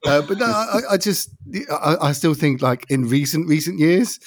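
Bloke rambling on a footy pod, laughs at himself, dead dry sound. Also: male voice, a little high, lively intonation, normal speed, no echo. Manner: rambling and self-mocking, with frequent restarts. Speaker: podcast co-host. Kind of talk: casual chat among football fans.

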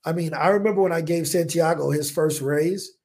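Energetic man with a gravelly voice. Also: a little high, fairly quick. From United States. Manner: energetic storyteller, acts out his own past thoughts. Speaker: podcast guest, business owner. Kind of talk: casual interview talk. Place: home in Colombia.

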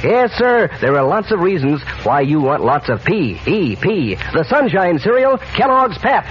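A chummy American male announcer pitches to children in 1940s radio style. His highish voice is very animated and enthusiastic, on a muffled vintage recording with faint music behind. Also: unhurried.

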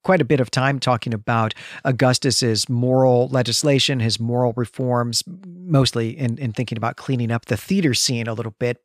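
A man's voice: medium pitch, no echo. Man explaining in a dry, close recording. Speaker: podcast host. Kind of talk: literary discussion, explanatory.

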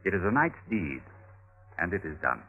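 A man's voice: low-pitched, sing-song, moderate pace. An actor playing a gloating, murderous Renaissance nobleman in theatrical vintage radio drama style, savoring each phrase. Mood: cold, commanding. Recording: tinny vintage radio recording, faint music.

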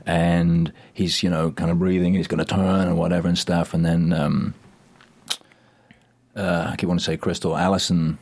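Low-voiced man chatting casually. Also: little pitch movement, moderate pace, a little mellow. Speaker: actor guest on a TV show recap. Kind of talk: casual panel chat.

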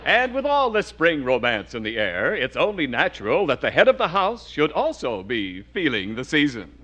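Animated 1940s radio announcer man. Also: on the high side, moderate pace.

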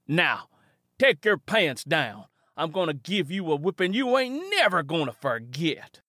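A man's voice: fairly high pitch, big pitch swings, a little slow, sharp tone, no echo, studio quality, pitched above the performer's own voice, full character voice. Storybook heavy: threatening, cruel young man with a raised growl, played by a man. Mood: menacing.

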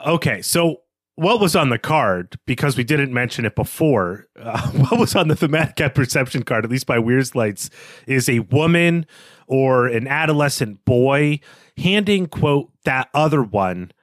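Male co-host, casual book discussion. Thinks aloud in halting phrases, animated intonation, very dry sound. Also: medium pitch, no room sound. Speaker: podcast co-host.

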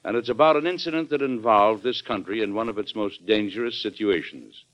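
An actor playing a genial, plain-spoken president who tells his own story in a measured, folksy way in an old-time radio drama. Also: on the low side, close to an everyday voice. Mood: measured storytelling. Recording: dull old radio recording, background music.

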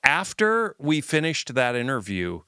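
A middle-aged American man chats casually as a podcast co-host. His voice is bright and crisp, pitched a little low, and recorded very dry. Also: huge pitch swings, unhurried, no room sound. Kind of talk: casual chat.

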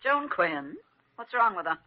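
No-nonsense female hospital nursing chief, brisk and wry, vintage radio acting, muffled lo-fi recording. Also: fairly low pitch, sing-song. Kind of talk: old-time radio drama acting.

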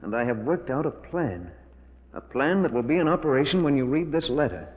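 A man's voice: medium pitch, expressive, fairly quick, close to an everyday voice. Actor as a tense, desperate man in old radio drama. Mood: grim, reading aloud. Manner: emphatic, melodramatic. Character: tense guilty man trapped in a cave, desperate schemer. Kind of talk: 1940s radio acting. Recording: muffled 1940s radio recording, reverberant.

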